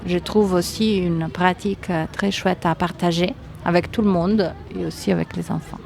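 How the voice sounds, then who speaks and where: Low-voiced woman with an Italian accent. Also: steady tone, normal speed, creaky, a little mellow, a little hiss or hum. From Italy. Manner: hesitant, thoughtful, many fillers. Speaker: guest. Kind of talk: casual interview answers.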